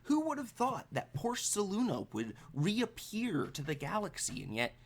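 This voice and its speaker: A man running a tabletop game, improvising narration in a halting, conversational way. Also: sits high, huge pitch swings, gravelly. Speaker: game master.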